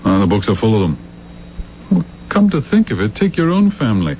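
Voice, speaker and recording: An actor playing a loyal, practical and skeptical friend with a measured conversational manner in a 1970s radio drama. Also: male voice, on the low side, big pitch swings. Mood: matter-of-fact. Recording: tinny, hissy old radio recording with hum.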